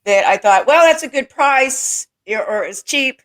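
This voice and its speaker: A woman's voice: high-pitched, animated, unhurried, a bit bright. A woman hosting a casual interview, chatty and enthusiastic, often repeating and restarting her points. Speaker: interview host. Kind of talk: casual video call interview.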